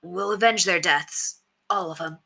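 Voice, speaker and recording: A woman playing a male bandit king in an audiobook story, grave and resolute, speaking in a slow, direct way with rustic phrasing. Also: fairly low pitch, creaky, bright, forceful tone, slightly rough, exaggerated voice. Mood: quiet, vengeful.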